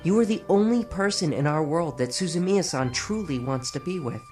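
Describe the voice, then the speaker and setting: A man playing a calm, polite young man who now appears as a glowing spirit, speaking smoothly with a light teasing edge. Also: on the high side, moderate pace, lightly acted. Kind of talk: fan audiobook acting. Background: music.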